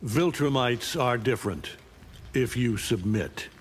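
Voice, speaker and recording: A man's voice: mid-range voice, animated, takes it slowly, husky. A man playing a condescending, coldly threatening older alien invader in a video game. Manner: stern, patronizing. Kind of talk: video game fight dialogue.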